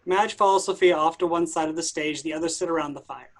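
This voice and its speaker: A woman's voice: deep voice, fast. A woman giving scripted narration, reading out the stage directions of a play.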